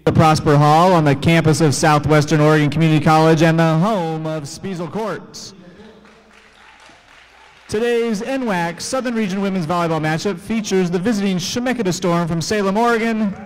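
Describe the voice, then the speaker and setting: A man's voice: fairly high pitch, moderate pace, a faint crowd, low-quality recording. Formal male arena announcer. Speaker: public address announcer.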